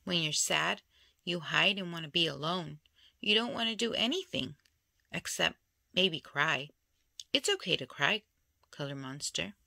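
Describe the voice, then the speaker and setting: A woman reading a children's picture book aloud in an expressive storytelling style. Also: fairly low pitch, unhurried, gravelly, long pauses, dry recording, clean studio sound. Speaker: storybook reader.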